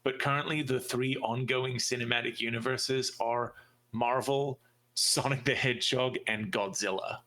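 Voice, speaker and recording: Male podcast host, bright forward voice, mid pitch, informal fan chat. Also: fairly even intonation, moderate pace. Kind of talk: casual chat about movies and games.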